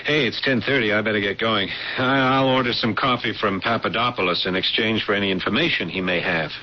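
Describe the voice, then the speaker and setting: A man's voice: on the low side, a little fast, lightly acted. An American man playing an earnest, nervous, polite amateur sleuth in a radio drama, with a hesitant, stammering manner. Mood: apologetic. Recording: muffled, hissy old radio broadcast.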